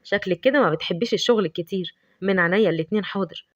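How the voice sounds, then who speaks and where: A woman's voice: medium pitch, very fast, pitched below the performer's own voice, natural voice. A woman voicing a courteous young male colleague with an Egyptian Arabic accent and a sly, teasing edge.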